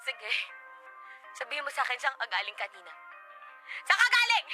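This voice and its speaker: A woman's voice: high voice, big pitch swings, moderate pace, sharp tone, faint music behind, full character voice. A woman with a Filipino accent playing a hurt, hot-tempered young girlfriend in a melodramatic Tagalog radio drama. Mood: furious, tearful. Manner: melodramatic, emphatic, repeats phrases.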